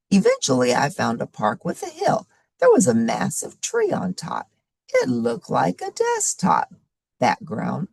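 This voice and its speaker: A woman plays an easygoing, amusing couch potato telling a children's storybook tale. The delivery is dramatic and unhurried, with huge swings in pitch. The voice has a creaky, gravelly edge. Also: darkish tone, no echo, full character voice.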